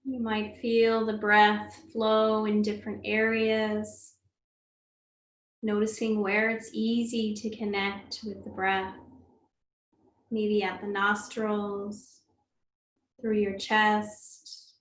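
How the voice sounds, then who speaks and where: A woman leading a guided meditation as a teacher, speaking gently and soothingly, unhurried, with long pauses. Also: medium pitch, monotone. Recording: roomy echo, faint background noise.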